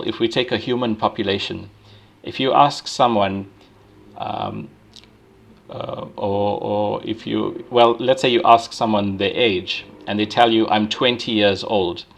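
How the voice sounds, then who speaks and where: A male lecturer talks through his material step by step, often pausing on fillers and restarting his sentences. His voice sits low with a gravelly, creaky edge. He keeps checking in with his students by asking "right?". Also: fairly bright tone. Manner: frequent fillers and restarts while thinking aloud. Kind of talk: university lecture.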